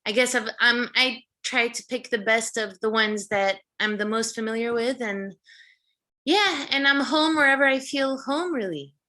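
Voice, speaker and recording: Bright, high-voiced woman guest. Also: moderate pace. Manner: reflective, thinks aloud with fillers and restarts. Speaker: guest, vegan restaurant founder and chef. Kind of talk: casual conversational interview.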